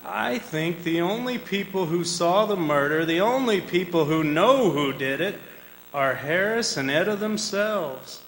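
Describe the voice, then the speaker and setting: Defense lawyer played by a man, high voice, blunt and reproving; stage radio drama, noisy reverberant hall recording. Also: animated, unhurried, a bit bright, heavily acted. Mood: exasperated, grave. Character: exasperated defense lawyer, blunt yet loyal to client. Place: performing arts center stage.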